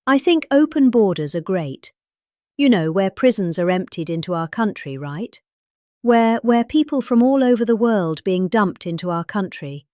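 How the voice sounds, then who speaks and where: A middle-aged woman with a British accent giving a dramatic reading of a political speech, emphatic and deliberate in her phrasing. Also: medium pitch, a little mellow, no echo, studio quality. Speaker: reader.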